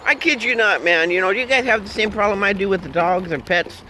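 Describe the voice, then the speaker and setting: A man's voice: sits high, quick pace, pushed and bright, slight background noise, low-quality recording. A man in his fifties chatting in a car in a rambling, joking way, chuckling and humming silly tunes. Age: 50s. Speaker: vlogger. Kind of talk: rambling casual chat.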